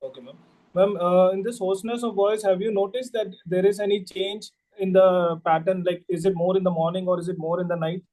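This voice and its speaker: A man's voice: high-pitched, steady tone, fairly quick, darkish tone, rough recording. A man practising a doctor's consultation, asking history questions politely and formally, with some hesitation. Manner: polite, hesitant, formal, often says ma'am. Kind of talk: role-played clinical history taking.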